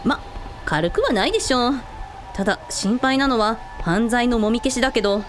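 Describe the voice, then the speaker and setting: A woman playing a brash, hot-blooded elder sister and shrine priest in a radio drama, bossy and rough-mouthed. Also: a little high, moderate pace, noisy background, lightly acted. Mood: sober.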